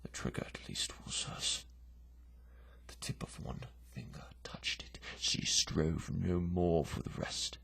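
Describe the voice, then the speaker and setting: A man giving a theatrical, dramatic poetry recitation in a breathy, gravelly voice, moving between hush and forceful declamation. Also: sits low, takes it slowly, in a whisper. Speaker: poetry reader.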